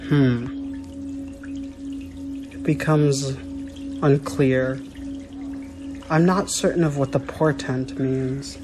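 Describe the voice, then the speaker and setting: A man's voice: mid-range voice, steady tone, very noisy. A man voicing a cryptic, solemn female seer in a fantasy radio drama, speaking portentously. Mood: pondering. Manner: slow, portentous.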